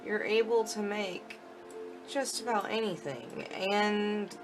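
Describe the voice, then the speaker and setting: A woman's voice: mid-range voice, slow pace, music in the background. A woman giving casual commentary on a game demo, thinking aloud informally as she goes. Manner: informal, thinking aloud while clicking through. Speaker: gaming video host.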